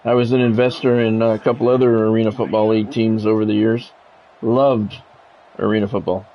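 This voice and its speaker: A man's voice: fairly low pitch, little pitch movement, brisk, lo-fi sound, a little hiss or hum. A middle-aged American man streaming a sports video game, mixing casual chat with punchy announcer-style play-by-play. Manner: conversational, switching into punchy announcer-style calls.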